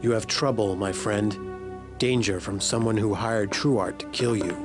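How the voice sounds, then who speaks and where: An actor playing a calm, wise mentor from a secret order in a video game, speaking in a measured, formal, persuasive manner. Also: male voice, lowish voice, over music, natural voice. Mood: calm, earnest. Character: calm, wise male keeper, patient mentor.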